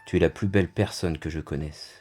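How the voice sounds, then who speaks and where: A man's voice: low-pitched, steady tone, a little fast, darkish tone. A man playing a wounded, tender-hearted Resistance fighter in a French audio drama. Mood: tender, sorrowful. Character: wounded male Resistance fighter, confused, tender, grieving friend.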